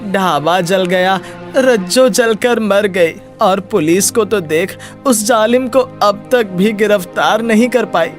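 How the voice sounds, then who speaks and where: A man voicing an anxious, folksy uncle who pleads and cautions in an animated moral story. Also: high voice, slow, soft background music. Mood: worried, cautioning. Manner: pleading, folksy catchphrase talk.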